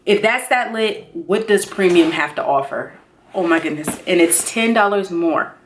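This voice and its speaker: A woman in her thirties chatting casually and excitedly to viewers as a beauty vlogger, expressive and slangy, repeating herself for emphasis. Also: on the low side, moderate pace, noisy background. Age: 30s. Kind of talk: casual excited unboxing chat.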